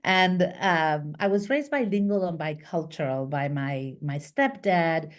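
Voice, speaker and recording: A woman's voice: low voice, animated, measured pace. A woman from Argentina, a business founder and podcast guest, explaining her work earnestly with fillers and restarts. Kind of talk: conversational interview.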